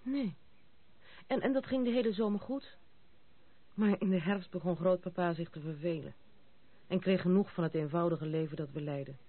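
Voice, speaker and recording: A woman's voice: fairly low pitch, moderate pace, pauses often, no echo, lightly acted. Actress as young woman in old radio play, blunt n wry, a bit disillusioned, tinny phone-like audio. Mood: disillusioned, confiding. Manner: direct, wry. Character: frank, disillusioned young granddaughter of a general. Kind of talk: radio drama acting. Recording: tinny, band-limited old radio recording.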